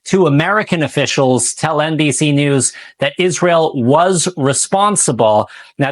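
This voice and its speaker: A man's voice: a little high, no echo. A man working as a TV news reporter, delivering a broadcast news report.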